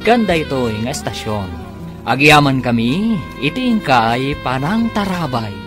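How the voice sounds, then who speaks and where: Guy announcing an ilocano radio drama, very animated, music playing behind. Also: mid-range voice, a little slow. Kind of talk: dramatic scripted radio narration. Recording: music bed under narration.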